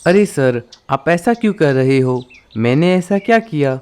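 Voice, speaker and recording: A man's voice: fairly high pitch, expressive, unhurried, darkish tone, smooth. A man voicing a deferential, placating crow who works as a school principal in a Hindi cartoon. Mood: puzzled, placating. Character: polite, sensible male crow school principal.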